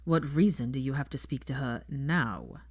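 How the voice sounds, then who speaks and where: A woman's voice: very deep voice, expressive, normal speed, darkish tone, husky, dry recording, clean studio sound, voice pushed down, full character voice. A woman voicing a cool, aloof young man in an audiobook story, clipped and coldly polite. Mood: cold, formal.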